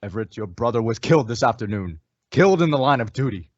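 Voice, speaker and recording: An actor playing a calm masked cowboy hero, speaking in a measured, reassuring way in an old-time western radio drama. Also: male voice, mid-range voice, no echo, muffled recording, lightly acted. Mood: firm.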